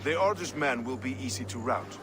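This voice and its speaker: A man's voice: mid-range voice, animated, a little fast, lightly acted. A man playing a determined, earnest medieval ally who guides comrades through war in a video game. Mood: grave resolve. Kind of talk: video game cutscene acting. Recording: loud music behind the voice.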